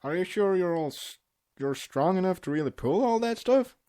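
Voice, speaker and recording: A man's voice: sits high, animated, moderate pace, mellow, pitched above the performer's own voice. A man voicing a stubborn, fussing young female cartoon pony in an insistent, emphatic manner. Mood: doubtful.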